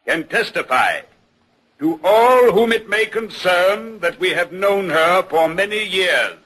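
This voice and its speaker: A man's voice: high voice, lively intonation, a bit bright, slightly rough, exaggerated voice, poor audio. An actor playing a solemn village man formally reading to a court in an old radio drama. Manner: deliberate, formal.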